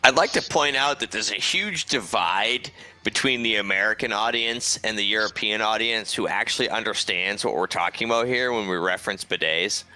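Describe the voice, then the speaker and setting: A man's voice: fairly low pitch, normal speed, bright, forceful tone. A man co-commentating an online drone race, wisecracking and teasing between calls. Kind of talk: joking esports commentary.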